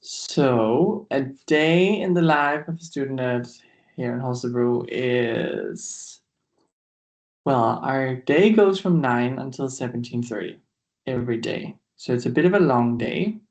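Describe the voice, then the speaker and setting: A man's voice: medium pitch, measured pace. A young man from Denmark, a dance student, talking casually and hesitantly on a video call. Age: young adult. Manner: relaxed, hesitant with fillers. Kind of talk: casual chat.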